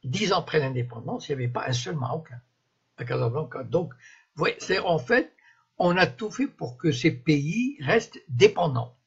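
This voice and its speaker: A man in his seventies from Morocco, whose first language is Moroccan Arabic, giving an unscripted live-stream monologue, often pausing and restarting to find his words. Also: a little high, expressive, slightly rough. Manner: halting, searching for words, frequent restarts. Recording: dull lo-fi live-stream audio.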